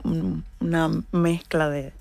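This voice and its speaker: A woman's voice: low-pitched, level tone, slow, creaky, darkish tone, no echo. Cuban woman, dry close sound. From Holguín, Cuba. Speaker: musician, singer, interview guest. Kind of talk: casual interview talk.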